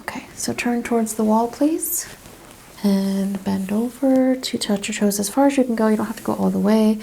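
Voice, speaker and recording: Woman, mellow soft ASMR voice, gentle clinician instructions, slips into whispers, faint background noise. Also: fairly high pitch, moderate pace, creaky, rough recording. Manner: gentle clinical questioning and step-by-step instructions, often dropping to a whisper. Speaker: ASMR practitioner in a chiropractic roleplay. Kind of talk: soft-spoken ASMR roleplay.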